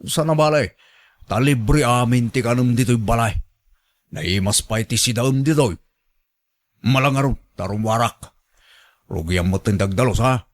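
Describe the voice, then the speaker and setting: A man's voice: medium pitch, moderate pace, long pauses, natural voice. A man with an Ilocano accent playing a bossy, demanding elderly man in a radio drama, blunt and nagging.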